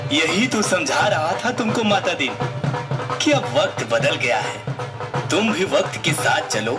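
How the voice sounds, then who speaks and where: A man playing a wise old village patriarch in a puppet show, measured and advising. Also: on the high side, very animated, over loud music. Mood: admonishing.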